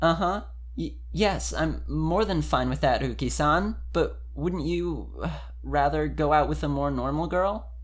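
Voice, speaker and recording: A man acts out a nervous anime girl in love, reading from fan fiction. The voice sits somewhat higher, with a hesitant, stammering delivery that sounds flustered and shy. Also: a little slow. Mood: shy, excited.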